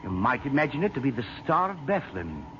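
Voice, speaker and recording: An affable Australian army officer in vintage radio drama. His voice sits a little high and swings freely, with clipped, slangy, chummy phrasing. The old recording is muffled and clearly noisy. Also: sing-song, fast, a bit bright. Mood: musing.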